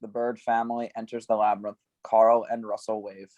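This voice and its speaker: A man's voice: on the low side, flat delivery, fairly quick, darkish tone, no echo, lo-fi sound. A man reading stage directions at a script table read, giving scene headings some emphasis.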